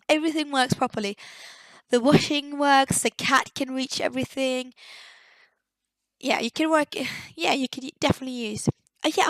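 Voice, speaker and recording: Young woman, higher voice, dry close sound, slight croak; hesitant casual commentary. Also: a bit bright, no room sound. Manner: hesitant, searching for words, many fillers. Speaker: game builder. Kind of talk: casual voice-over commentary on a game build.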